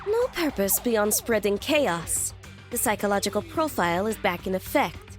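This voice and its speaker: A woman's voice: mid-range voice, very animated, moderate pace, faint music behind, close to an everyday voice. A woman playing a composed, analytical young student profiler in an audio drama, formal and precise.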